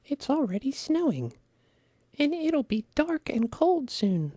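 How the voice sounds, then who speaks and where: Man doing a high-pitched, gentle little mouse. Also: big pitch swings, slow pace, no room sound, studio quality, full character voice. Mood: cautious. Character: small, worried but kindly female mouse.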